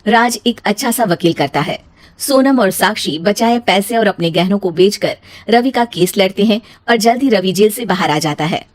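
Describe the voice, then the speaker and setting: A woman's voice: medium pitch, expressive, moderate pace. A woman storyteller giving a scripted narration of an emotional moral story.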